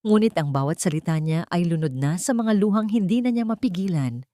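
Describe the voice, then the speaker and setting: A woman's voice: lowish voice, expressive, fairly quick, dark tone, no echo, studio quality. A woman narrating a dramatic audio story in a somber, emotive way.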